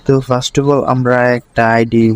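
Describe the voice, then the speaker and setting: A man walks through a tutorial one step at a time. His medium-pitched voice stays very even, with little melody. He repeats each step as he moves to the next, and the recording is dry, with no room sound. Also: a little slow, no echo. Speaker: tutorial presenter.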